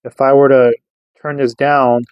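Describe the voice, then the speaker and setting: A man's voice: mid-range voice, little pitch movement, a little slow, smooth, dry recording, muffled recording. A man giving a casual, hands-on gear review, explaining informally and often checking in with the listener. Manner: informal, loosely explanatory, rhetorical check-ins. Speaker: host of a radio gear review channel.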